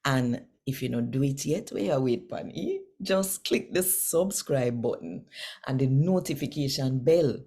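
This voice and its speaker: A woman with a Jamaican accent, hosting and reading scripture devotionally in a deliberate, phrase-by-phrase way. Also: very deep voice, expressive, warm tone, husky. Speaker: host and scripture reader.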